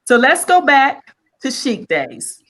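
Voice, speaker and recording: A woman's voice: high-pitched, wildly swooping pitch, slow, crowd noise. A woman hosting a live online interview, upbeat, greeting viewers and guiding the conversation. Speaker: host.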